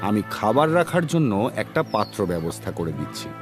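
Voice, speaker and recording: An actor voicing a strict older teacher in a cartoon, speaking sternly in a measured, lecturing manner. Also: male voice, on the low side, sing-song. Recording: music playing behind the voice.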